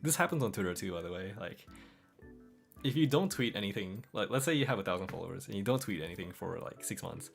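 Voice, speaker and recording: Young male streamer, low-pitched with vocal fry, mixed Aussie-American accent, laid-back chatter over soft music. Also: fast. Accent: mixed Australian and American. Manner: relaxed, laughs often, trails off softly. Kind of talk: casual late-night chat reading comments. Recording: faint background music.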